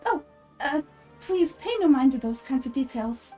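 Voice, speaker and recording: A woman's voice: high voice, lively intonation, moderate pace, dark tone, faint music behind. A woman voicing a nervous game character who bluffs as a fortune teller, hesitant and overeager. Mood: bluffing. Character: flustered fake fortune teller improvising divine prophecies.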